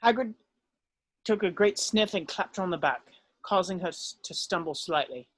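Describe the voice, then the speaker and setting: A man's voice: sits high, lively intonation, normal speed, a bit bright, no echo. A man reading stage directions aloud as the narrator of a script read.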